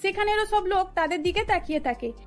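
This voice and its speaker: A woman with an extremely high voice narrates a scripted cartoon tale. Faint music runs beneath her storytelling. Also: expressive, quick pace.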